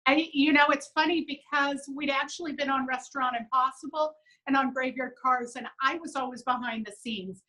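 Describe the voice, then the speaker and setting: Upbeat middle-aged woman, high bright voice, chatty interview guest on a video call. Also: moderate pace, few pauses, rough recording. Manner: upbeat, earnest, quotes others in lively voices. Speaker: business owner, interview guest. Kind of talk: casual conversational interview.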